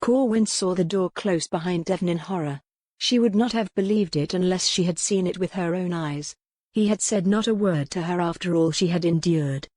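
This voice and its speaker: A woman's voice: fairly low pitch, moderate pace, darkish tone, no echo, studio quality. A woman reading a historical novel as scripted, dramatic audiobook narration.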